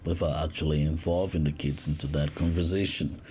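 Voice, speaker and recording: A man from Africa, hosting a podcast in a casual, reflective monologue with frequent pauses and restarts. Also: very deep voice, fairly even intonation, a little mellow, a little hiss or hum. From West Africa. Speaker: podcast host.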